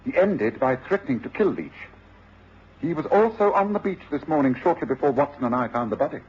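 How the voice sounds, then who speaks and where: An assured, precise detective for radio drama; animated intonation, dulled old recording. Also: male voice, fairly high pitch, a little fast, slightly rough, lo-fi sound. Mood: accusing, firm. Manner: authoritative, didactic, precise.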